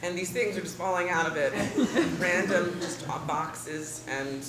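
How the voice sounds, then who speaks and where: A man's voice: high-pitched, a little slow. A young man rambling through an absurd improvised story, stifling laughs as he goes. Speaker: improv player. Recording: echoey room with loud noise.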